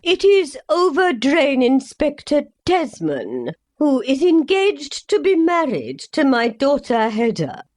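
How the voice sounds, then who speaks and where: A woman performs a solemn, gloomy mother in a stage parody. She speaks very slowly and portentously, with a fairly high voice that swings dramatically through each line. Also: huge pitch swings, a little mellow, heavily acted. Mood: solemn concern. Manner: portentous, drawn-out melodramatic delivery. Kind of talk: comic stage-play acting.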